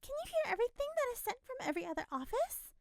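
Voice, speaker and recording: A woman voicing a prying, haughty lady who fires off incredulous questions in a shrill, very high voice. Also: big pitch swings, quick pace, creaky, no echo, clean studio sound, exaggerated voice.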